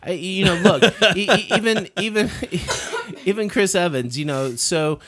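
A man discussing a film casually on a podcast panel, halting and argumentative, repeating words for emphasis. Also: high voice, a bit bright.

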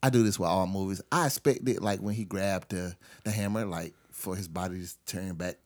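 Male panelist, slightly low voice, informal and emphatic, short phrases in relaxed film review conversation. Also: normal speed. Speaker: podcast panelist. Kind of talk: casual film review discussion.

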